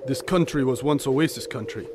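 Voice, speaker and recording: Male actor, stoic desert companion, devout, medium-pitched, formal archaic phrasing, solemn, audio drama, clear background ambience noise. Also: moderate pace, natural voice.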